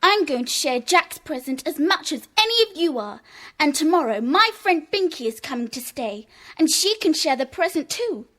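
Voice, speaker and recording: High, cheeky schoolgirl. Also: very animated, moderate pace, sharp tone, full character voice. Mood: defiant. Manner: argumentative, taunting. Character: cheeky, defiant schoolgirl pushing into a secret club. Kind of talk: children's audio drama acting.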